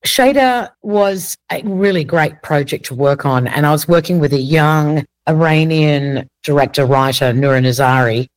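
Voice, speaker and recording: A woman's voice: low-pitched, moderate pace, few pauses, no room sound. A woman with an Australian accent, talking conversationally as a casting director being interviewed.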